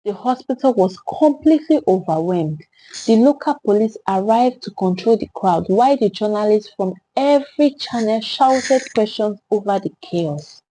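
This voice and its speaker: A woman's voice: mid-range voice, lively intonation, normal speed, warm tone, a little hiss or hum, rough recording. A woman with a Nigerian accent narrating a scripted story in a solemn, theatrical, dramatic way.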